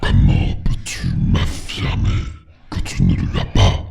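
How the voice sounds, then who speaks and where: A man voicing a mocking, ancient male devil in an audio drama, with a guttural, gravelly growl and formal speech. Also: dark tone, close to an everyday voice. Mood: mocking, impressed. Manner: slow, sardonic, formal.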